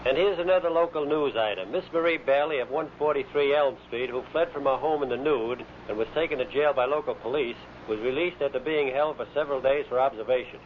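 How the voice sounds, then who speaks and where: A man's voice: medium pitch, fairly quick, hardly any pauses. Tinny male newscaster on vintage radio. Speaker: local newscaster. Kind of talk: scripted 1950s radio news reading. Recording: thin phone-like old radio, faint noise.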